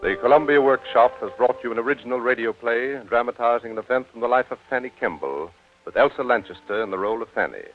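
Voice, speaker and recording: Male radio announcer, medium pitch, formal, measured, 1940s style, tinny broadcast, faint music behind.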